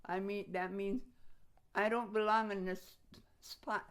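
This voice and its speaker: An older woman from Slovakia, a native Slovak speaker, casually reminiscing in an interview in a halting way, often repeating her phrases. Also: mid-range voice, steady tone, smooth. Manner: halting, repeats phrases, chuckles while recalling.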